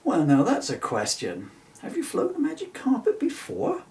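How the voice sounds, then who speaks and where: A man voicing a gentle, jokey magic unicorn for a children's storybook, in a friendly, playful manner. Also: sits high, wildly swooping pitch, normal speed, gravelly, distant mic, voice pushed up, heavily acted. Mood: cheerful. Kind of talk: children's storybook character reading.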